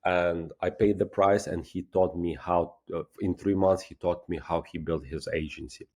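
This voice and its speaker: A man from Ukraine with a Ukrainian accent, a guest on a casual podcast interview, speaking haltingly and often restarting his words, with self-deprecating humor. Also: deep voice, steady tone, darkish tone. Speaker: guest, agency founder.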